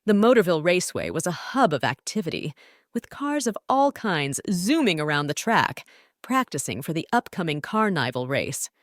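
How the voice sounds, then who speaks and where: A woman's voice: on the low side, big pitch swings, moderate pace, no echo, studio quality. A woman narrating a scripted bedtime story for children as a storyteller.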